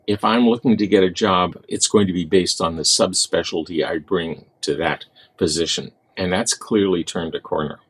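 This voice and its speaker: A man giving interview commentary as a medical expert, speaking in measured, formal wording. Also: low-pitched. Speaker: physician interviewee.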